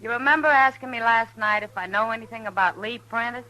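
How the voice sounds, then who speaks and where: A woman's voice: on the high side, fairly quick, pushed and bright, rough recording. An actress playing a worldly, frank and chatty saloon woman in an old-time radio Western. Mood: saucy, needling. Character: worldly, sociable frontier saloon woman, plain-spoken.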